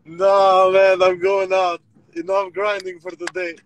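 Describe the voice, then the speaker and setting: High-voiced man with an Albanian accent. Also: little pitch movement, a little slow, a little hiss or hum, rough recording. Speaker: panel guest. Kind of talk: casual chat on a video call.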